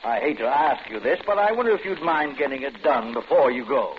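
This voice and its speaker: An actor playing a brisk, exasperated male office boss in 1950s radio drama style. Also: mid-range voice, lively intonation, natural voice. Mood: curtly polite. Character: brisk, exasperated office boss, barking then politely demanding. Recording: muffled, very noisy old radio recording.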